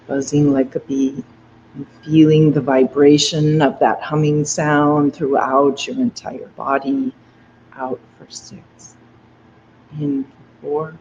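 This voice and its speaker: A meditation guide leading a guided breathing meditation in a soft, gentle, unhurried way. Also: medium pitch, a little hiss or hum. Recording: dull lo-fi recording with faint ambience.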